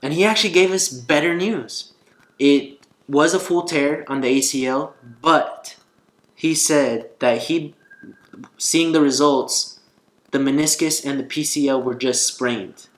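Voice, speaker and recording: A man's voice: a little high, measured pace. A man vlogging in a casual, conversational and earnest way, telling a personal story. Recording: roomy echo, faint guitar music behind.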